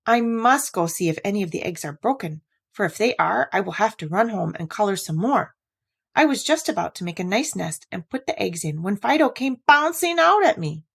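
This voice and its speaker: A woman reader plays a timid little storybook Easter bunny. He speaks in a quavery, gentle way, polite and earnest with everyone he addresses. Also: mid-range voice, very animated, normal speed, creaky, breathy edge, exaggerated voice.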